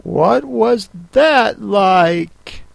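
A woman voicing a polite, curious, easily affronted young girl in a storybook, with careful, drawn-out delivery. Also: medium pitch, lively intonation, vocal fry, no echo, voice pushed up, heavily acted.

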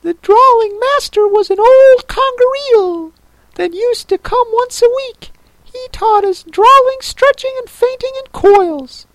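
A woman voicing a mournful, touchy, sobbing turtle creature from a storybook, with a drawn-out, tearful delivery. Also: extremely high pitch, pitched above the performer's own voice, rough recording. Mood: wistful.

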